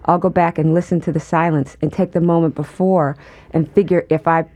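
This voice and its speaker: A woman guest in an interview, talking thoughtfully and in a measured way about her work. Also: low-pitched, fairly even intonation, mellow. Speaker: guest, performer. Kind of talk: conversational interview.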